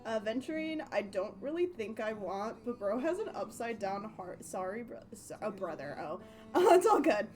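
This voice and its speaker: A woman's voice: medium pitch, very animated, normal speed, a little mellow, soft background music. A woman casually chatting as a livestream host, rambling playfully and often breaking off mid-thought. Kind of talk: casual gaming stream chat.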